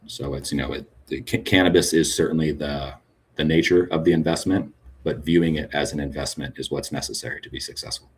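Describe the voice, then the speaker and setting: A man's voice: a bass voice, fairly even intonation, fairly quick, slightly rough. A man speaking frankly as a podcast guest, often tagging his points with "right?". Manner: frank, tag questions with "right?". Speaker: cannabis business guest. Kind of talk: conversational podcast interview.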